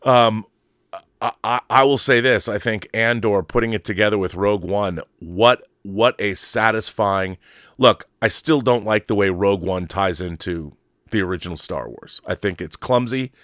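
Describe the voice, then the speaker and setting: A man's voice: on the low side, moderate pace, fairly bright tone, no room sound, clean studio sound. A middle-aged man giving casual, rambling opinion commentary in an emphatic, conversational way, often digressing. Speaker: host of a film and book commentary show.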